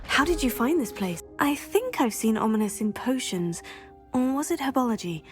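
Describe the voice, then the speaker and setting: A woman's voice: on the high side, animated, moderate pace, soft background music, close to an everyday voice. A young woman playing a polite, curious student in a game cutscene, earnest in tone.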